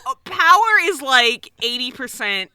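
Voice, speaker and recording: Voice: high voice, big pitch swings, measured pace, pushed and bright, clear, resonant tone, no echo, studio quality. A person of about thirty co-hosting a podcast with casual, playful and sardonic comedic banter. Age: 30s. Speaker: podcast co-host.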